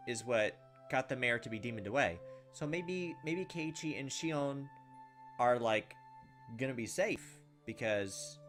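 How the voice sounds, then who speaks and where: A young man hosting a gaming video, reading game text aloud and adding casual commentary. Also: mid-range voice.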